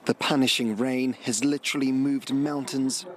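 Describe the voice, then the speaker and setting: A man's voice: mid-range voice, steady tone, moderate pace. A man with a New Zealand accent reporting for television news from out in the field. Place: outdoors on location by damaged roads.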